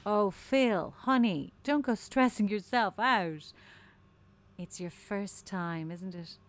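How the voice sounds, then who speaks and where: A woman's voice: mid-range voice, slow pace, natural voice. An actress playing a breezy, patronising woman radio producer, sugary and chatty with veiled menace, in a darkly comic audio drama. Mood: breezy, condescending. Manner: sugary, condescending, theatrically chatty.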